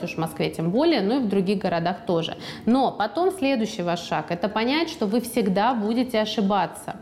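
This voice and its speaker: Chatty woman guest on a podcast. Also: mid-range voice. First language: Russian. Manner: lively, chatty, acts out quoted speech. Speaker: guest author. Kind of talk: podcast conversation. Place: podcast studio.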